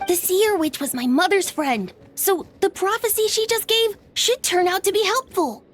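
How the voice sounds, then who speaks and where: A woman's voice: very high voice, moderate pace, faint music behind. A woman voicing a small, cheerful young dragon who is lost, talking in a bubbly, sing-song way. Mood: hopeful.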